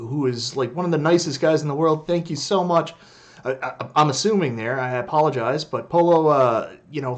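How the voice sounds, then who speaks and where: A Canadian man in his forties with a light accent, casually chatting on a live stream, greeting viewers and joking about his own skills. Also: fairly high pitch. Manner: chatty, greets viewers by name, self-deprecating.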